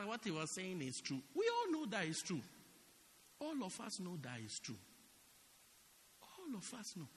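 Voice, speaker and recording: A man preaching a dramatic sermon as pastor in a church, swinging from loud shouts to quiet asides. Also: sits high, long reverb tail.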